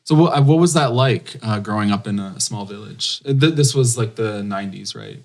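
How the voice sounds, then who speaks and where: A man's voice: on the low side, fairly quick. Man hosting a casual podcast. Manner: friendly, prompting with short questions and backchannels. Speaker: podcast host. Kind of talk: casual interview chat.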